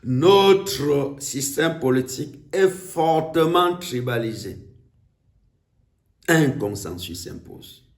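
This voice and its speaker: A man with a Cameroonian accent giving an emphatic, declamatory political speech, with deliberate pauses. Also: mid-range voice, lively intonation. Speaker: political speaker. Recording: echoey room.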